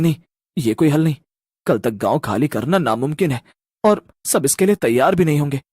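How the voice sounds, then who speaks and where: An anxious, earnest village boy from a horror cartoon, voiced by a man. His rather high voice jumps around with urgency. He exclaims and explains in a close, dry recording. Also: no echo. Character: anxious, earnest village boys plotting against witches.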